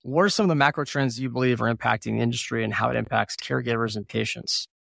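A male podcast host who talks quickly, with a gravelly edge to his voice. He encourages his guest and moves on with quick follow-up questions. The sound is dry and close. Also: mid-range voice, a bit bright, no echo. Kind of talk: interview questions.

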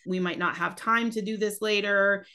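A woman in her thirties co-hosting a podcast in casual chat, her voice a little raspy from a cold, talking loosely with many restarts. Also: medium pitch, fairly even intonation, moderate pace, bright, forceful tone. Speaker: podcast co-host.

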